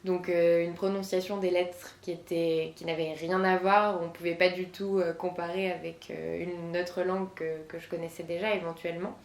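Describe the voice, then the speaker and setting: A woman's voice: on the low side, flat delivery, moderate pace, vocal fry, hardly any pauses. Young French woman in an echoey room. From southern France. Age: 20s. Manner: hesitant, many fillers. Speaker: guest, conference interpreter. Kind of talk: interview answers.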